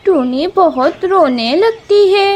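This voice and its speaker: A woman's voice: very high voice, animated, takes it slowly, a little mellow, a little hiss or hum. A woman reading scripted narration for a children's story cartoon.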